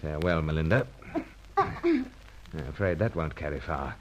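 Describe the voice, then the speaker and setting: An actor playing a gentlemanly British secret agent in an old radio adventure serial, clipped and commanding. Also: male voice, very deep voice, wildly swooping pitch, a little slow, noisy background, full character voice. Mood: hushed, brisk. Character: calm heroic British secret agent, leader and narrator.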